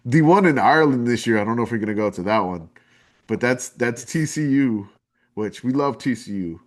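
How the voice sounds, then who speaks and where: A man's voice: mid-range voice, expressive, fairly quick. A man with a New Orleans drawl chatting casually as a podcast guest, rambling and enthusiastic with lots of fillers. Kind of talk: casual chat.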